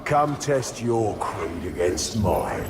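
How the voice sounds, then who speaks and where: A menacing, mocking killer. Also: male voice, on the low side, expressive, slow pace, background noise. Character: vengeful, taunting male serial killer. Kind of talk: video game cutscene acting. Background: orchestral game score.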